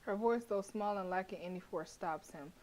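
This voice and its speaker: A young woman streaming a horror visual novel, reading the narration aloud and breaking into blunt, profane, animated reactions with frequent laughter. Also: mid-range voice, normal speed.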